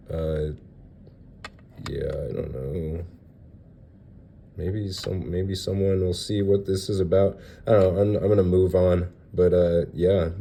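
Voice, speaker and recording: A young man with a low, very dark voice, a little nasal and stuffy from a cold he is getting over. He rambles casually through his card collection, hesitating often and filling gaps with ums and uhs. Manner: rambling, hesitant, lots of fillers. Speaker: card collector showing graded cards. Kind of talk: casual unboxing vlog.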